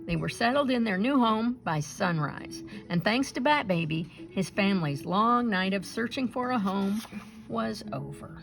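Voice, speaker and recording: A woman reading a children's picture book aloud in an expressive storytelling style, acting out the sounds and dialogue. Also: medium pitch, a little slow, creaky. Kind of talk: animated read-aloud narration for children.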